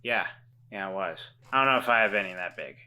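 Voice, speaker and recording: A woman voicing a remorseful weasel man who once ran away from home, speaking in a halting, reflective way and gently encouraging others. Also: a bass voice, expressive, exaggerated voice. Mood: hesitant.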